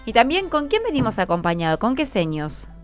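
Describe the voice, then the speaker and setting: A woman's voice: on the high side, sing-song, a little fast, faint music behind. A woman with a Buenos Aires Argentine accent hosting a casual radio interview with schoolchildren, prompting and reassuring them. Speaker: radio host. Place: radio studio. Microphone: studio microphone.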